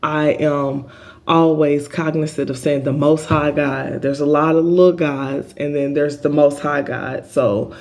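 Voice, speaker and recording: A middle-aged man hosting a spiritual healing channel, talking in an earnest, halting way with lots of filler ums and a big voice. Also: fairly high pitch, steady tone, a little mellow. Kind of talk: spiritual healing vlog monologue.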